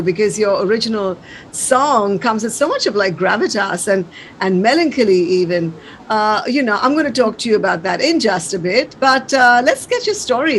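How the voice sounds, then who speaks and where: Casting: female radio interviewer, higher-pitched, chatty and informal, with some noise behind her. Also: low-quality recording. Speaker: radio show host.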